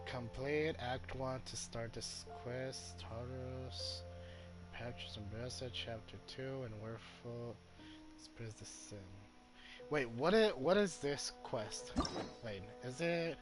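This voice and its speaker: A man gives casual gameplay commentary at a slow pace. He is halting, thinking aloud as he works through the game. Game music is clearly audible behind him. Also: mid-range voice. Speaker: gamer streaming playthrough.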